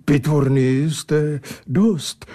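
A man playing a dignified, indignant gentleman in a storybook reading, speaking in a stiffly formal, huffy way. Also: on the high side, lightly acted. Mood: wry, defiant. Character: dignified, indignant gentleman, flustered by his uninvited visitor.

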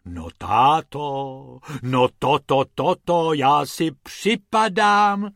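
A man's voice: on the high side, lively intonation, gravelly, a bit bright, dry recording, studio quality. A man voicing an awestruck, sighing married woman on holiday in a children's story, stammering and repeating herself. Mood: awed sighing. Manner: stammering, drawn-out repetition.

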